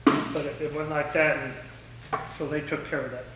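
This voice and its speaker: A man's voice: a little high, little pitch movement, moderate pace. A man giving an informal public talk in a folksy, anecdotal way and answering questions. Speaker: guest speaker, former air traffic controller. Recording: echoey room, distant mic, faint noise.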